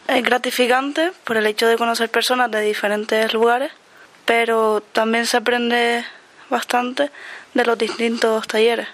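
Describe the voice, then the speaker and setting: Young woman, fairly high voice, earnest. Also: level tone, unhurried, a bit bright. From Canary Islands. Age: young adult.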